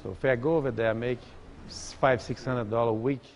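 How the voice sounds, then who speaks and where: A man in his forties with a Brazilian accent, a native Portuguese speaker, telling an interviewer about life back home in relaxed, halting English. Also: medium pitch, slight background noise. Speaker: interviewee, auto shop owner. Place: indoor auto workshop. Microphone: lapel mic.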